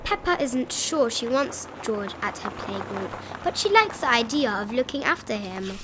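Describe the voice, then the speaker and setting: A woman narrating a children's storybook in an animated read-aloud style, with music under her voice. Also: on the high side, moderate pace, noisy background.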